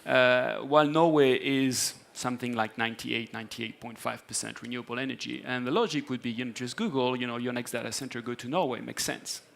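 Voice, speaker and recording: A man's voice: mid-range voice, fairly even intonation, brisk, creaky, hardly any pauses, a bit bright. A man speaking off the cuff on a conference panel, arguing his point with frequent repeated filler phrases. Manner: filler-heavy, repeats "you know, just". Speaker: panelist, data center energy executive. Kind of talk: panel discussion, unscripted argument.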